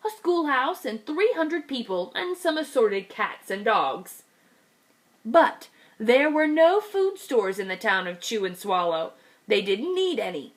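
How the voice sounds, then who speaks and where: A woman teacher reading a picture book aloud to young children, with a lively, expressive storytelling delivery. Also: fairly high pitch. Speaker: kindergarten teacher.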